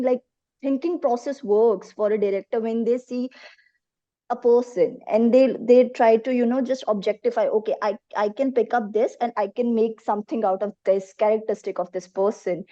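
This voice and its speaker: A woman asking interview questions conversationally, deferential and halting as she searches for phrasing, on dull lo-fi audio. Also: fairly high pitch, fairly even intonation, warm tone.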